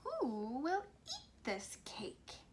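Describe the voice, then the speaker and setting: A determined little red hen from a children's storybook, acted out by a woman. The voice is slightly high and very slow, with each declaration stretched and stressed. Faint noise sits behind it. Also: wildly swooping pitch, exaggerated voice. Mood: inviting. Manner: emphatic, drawn-out, deliberate declarations.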